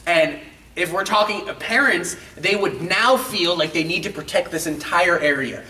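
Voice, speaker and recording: A man's voice: sits high, pushed and bright, distant mic. A man hosting a casual fan talk show, explaining things in an emphatic, geeky way and acting out remembered lines. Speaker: host of a video game and film talk show. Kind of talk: casual enthusiastic fan chat. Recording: roomy, off-mic, noticeable background noise.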